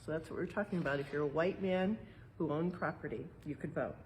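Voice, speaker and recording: A woman reading a picture book aloud in a museum exhibit like an educator, pausing for casual explanations and checking in with little tag questions. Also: sits low, a little mellow, a little hiss or hum, poor audio. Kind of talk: read-aloud storytime with casual explanatory asides.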